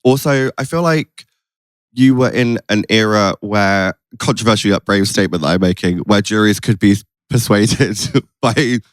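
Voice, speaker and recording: A man's voice: lowish voice, normal speed, smooth, no room sound, studio quality. A man hosting a podcast in a casual, playful, chatty way. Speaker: podcast host. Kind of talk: casual chat.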